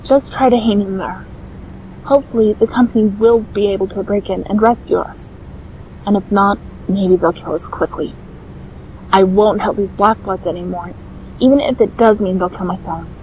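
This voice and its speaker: Captive nurse woman acting: mid-pitched, earnest and fearful yet principled, audio drama with slight background noise. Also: normal speed, darkish tone, lightly acted, rough recording. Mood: resigned, comforting. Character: frightened, defiant captive nurse, gentle with her patient. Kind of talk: audio fan fiction acting.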